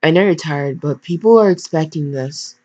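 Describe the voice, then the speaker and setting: A woman's voice: low-pitched, moderate pace, a little mellow. A woman voicing a strong, reassuring man, a groom in a read-aloud love story. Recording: dull lo-fi microphone.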